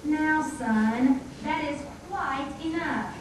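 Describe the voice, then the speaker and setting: A woman voicing a worried, gently scolding frontier mother in a scripted puppet show, with a measured manner. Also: high voice. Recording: echoey room, far mic, noisy.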